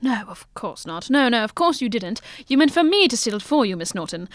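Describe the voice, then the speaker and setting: Radio mystery lead: female actor as composed, commanding gentleman sleuth, higher register, formal delivery, dry sound. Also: very animated, no echo. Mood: stern. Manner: formal, measured, old-fashioned British gentlemanly phrasing. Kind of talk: old-fashioned detective radio drama acting.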